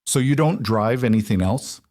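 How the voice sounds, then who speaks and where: A man voicing a calm, secretive man who talks in a low-key, dry way and asks probing questions. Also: normal speed, no echo, natural voice.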